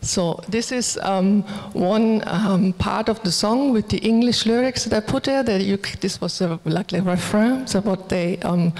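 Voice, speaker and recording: A woman's voice: medium pitch, a little mellow. Female conference presenter, native German speaker, informal and hesitant, mid-range voice, recorded in a reverberant hall. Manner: halting, hesitant delivery.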